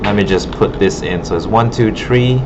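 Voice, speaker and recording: A man's voice: on the low side, normal speed. A man teaching informally as an instructor, thinking aloud in a hesitant way, recorded in a classroom. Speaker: digital art instructor. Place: indoor classroom. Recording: muffled, noisy classroom recording with air-conditioning hum.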